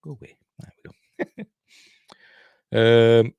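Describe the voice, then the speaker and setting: A man's voice: medium pitch, slow pace, creaky, a little mellow, smooth, no room sound, highs cut off. A middle-aged man with a Yorkshire accent, hosting a casual livestream in a rambling, chatty way with self-deprecating asides. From Yorkshire, England. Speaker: channel host reviewing TV.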